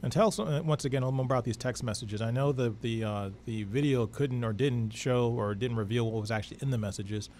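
A man's voice: mid-range voice, quick pace, few pauses, darkish tone, a little hiss or hum. A middle-aged man with an American accent, chatting casually as a radio interviewer into a close studio microphone. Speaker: podcast co-host. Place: small indoor studio. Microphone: close studio broadcast microphone.

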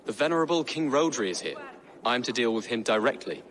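A man's voice: medium pitch, lively intonation, a little fast, fairly bright tone, close to an everyday voice. An actor playing a polite, hesitant young nobleman. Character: nervous earnest young noble man.

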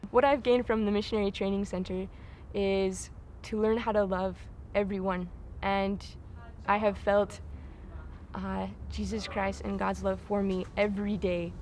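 A young woman from Utah, a missionary, speaking with bright enthusiasm in an outdoor interview. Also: medium pitch, flat delivery, a little slow, a little hiss or hum. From Utah, USA. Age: young adult.

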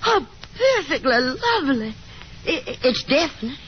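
Middle-aged mother, dramatic, insistent, hopeful, very lively intonation, dull noisy old radio recording. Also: high voice, normal speed. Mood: excited, fussing. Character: fussy, talkative, hopeful middle-aged mother, faded Southern belle. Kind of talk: 1950s radio drama acting.

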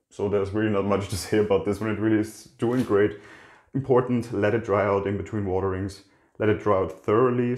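Deep-voiced man touring houseplants. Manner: relaxed, rambling with frequent restarts and fillers. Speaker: plant channel host. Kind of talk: casual plant tour walkthrough. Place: indoor kitchen by a windowsill. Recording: echoey kitchen room.

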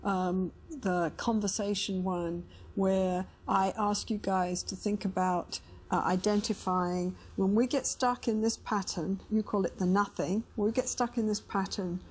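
A woman's voice: mid-range voice, fairly even intonation, unhurried, few pauses, a little mellow, husky. A middle-aged woman talking as a couples therapist, explaining things in a counselling style. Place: indoor studio set with sofas.

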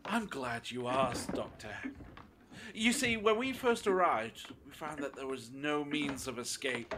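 An actor playing a cold, menacing captor in an audio drama, taunting and deliberate in a theatrical way. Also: male voice, fairly high pitch, background noise. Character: menacing cold male captor interrogating a prisoner. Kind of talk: fan audio drama acting.

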